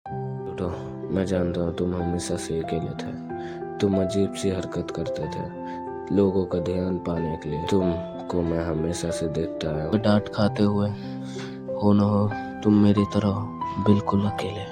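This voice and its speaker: Man acting young male ninja rival, low pitch, brooding, confessional, anime fan dub, prominent background music. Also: little pitch movement, a little slow. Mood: remorseful, brooding.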